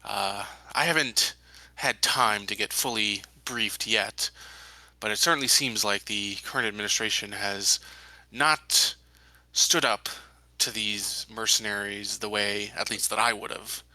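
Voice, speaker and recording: A man playing a guarded, authoritative colony security chief in a tabletop roleplay, talking in a measured, careful, official way. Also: on the low side, fairly even intonation, pushed and bright, dry recording, exaggerated voice, rough recording. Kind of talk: improvised tabletop roleplay dialogue.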